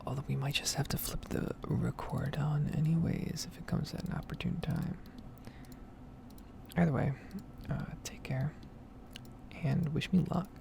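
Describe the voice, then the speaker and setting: Woman, hushed ASMR gaming ramble, very gravelly vocal fry, breathy, casual, close mic, keys and clicks behind. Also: sits low, fairly even intonation, measured pace, long pauses. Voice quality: breathy, soft-spoken whisper with heavy vocal fry. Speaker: gaming streamer and ASMR creator. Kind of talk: whispered ASMR gameplay ramble.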